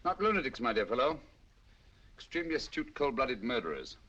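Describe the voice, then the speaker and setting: An actor playing a calm, shrewd male detective in a 1940s film, with a cool, measured delivery. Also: medium pitch. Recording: dull old film soundtrack with hiss.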